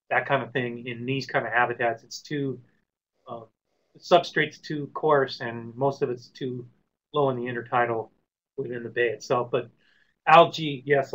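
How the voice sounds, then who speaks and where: A man who is recovering from a cold and sounds hoarse, answering webinar questions with halting restarts and frequent throat clearing. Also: medium pitch, fairly bright tone. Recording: muffled lo-fi web call audio.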